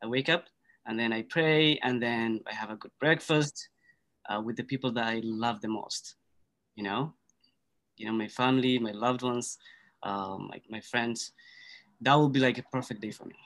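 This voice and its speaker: A man's voice: medium pitch, moderate pace, fairly bright tone. Man, native Somali speaker, earnest, thoughtful, casual podcast talk. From Kenya. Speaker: podcast guest. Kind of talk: casual conversation.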